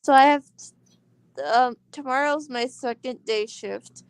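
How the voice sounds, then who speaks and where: A woman's voice: high voice, measured pace, clean tone, dry recording. A twenty-year-old woman chatting casually and profanely, tired after work, calling friends bestie. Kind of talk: casual stream chat.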